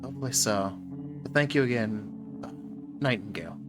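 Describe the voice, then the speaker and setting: A man's voice: on the low side, fairly quick, close to an everyday voice. Man playing Maya, a resigned, polite doomed woman. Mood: polite, grateful. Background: music.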